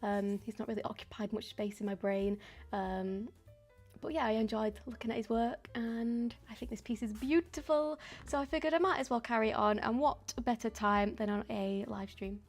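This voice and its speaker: A woman's voice: fairly high pitch, moderate pace, faint music behind. A woman, chatting casually and a bit ramblingly while she paints on a live stream. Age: 20s. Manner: chatty and rambling, with frequent ums and soft laughs.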